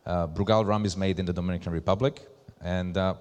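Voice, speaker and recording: Low-voiced Slovak-accented man in echoey hall. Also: fairly even intonation, fast, a little mellow. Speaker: presenter, brand ambassador. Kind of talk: conference presentation. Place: large hall at a live event. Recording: echoey hall with long reverb.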